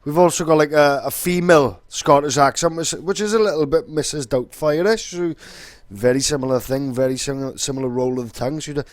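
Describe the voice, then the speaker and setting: A man's voice: on the high side, a little fast, few pauses, dry recording, studio quality. A young man with a Welsh accent, casually explaining in a rambling, sweary way with lots of fillers. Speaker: vlogger.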